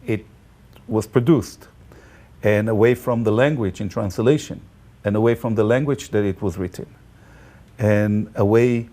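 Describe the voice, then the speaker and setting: Israeli man with a dark, low voice. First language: Hebrew. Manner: halting, with many fillers and restarts. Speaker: guest, tour guide and Bible teacher. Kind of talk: casual conversation.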